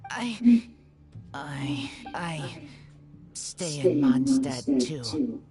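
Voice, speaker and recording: An actor playing an earnest, loyal teenage boy raised by wolves in a fantasy game, speaking in halting, simple phrases with a husky edge. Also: medium pitch, lively intonation, reverberant. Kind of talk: scripted fantasy game dialogue. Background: music.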